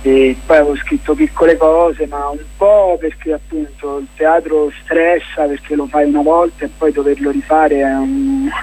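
A man with a husky voice, speaking as a guest in a casual radio interview, explaining his projects in a hesitant, rambling way. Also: on the high side, normal speed, few pauses, darkish tone, low-quality recording. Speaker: playwright and documentary filmmaker, interview guest.

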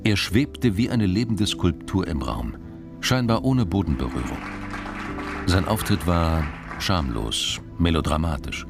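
An actor as the narrator of a crime radio play, reading literary prose in a measured, descriptive way. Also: male voice, low-pitched, sing-song, gravelly, over music, crowd noise, full character voice. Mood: measured, intense. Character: male narrator of a crime story.

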